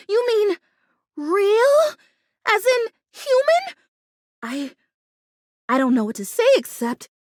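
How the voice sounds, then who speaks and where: A sweet little living plant from a video game. The voice is extremely high and swoops around with feeling, in a dry, close studio sound. The talk is folksy, earnest and full of wholesome exclamations, with a proud sense of self. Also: female voice, fairly bright tone, no room sound, exaggerated voice. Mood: excited, joyful. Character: sweet, excitable, sentimental living houseplant, female, proudly self-assured. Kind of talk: animated video game character dialogue.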